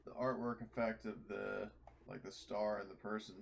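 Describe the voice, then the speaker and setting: A man's voice: fairly low pitch, level tone, moderate pace, gravelly. A man chatting casually as a player in a tabletop roleplaying game, asking questions about the game. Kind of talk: casual chat.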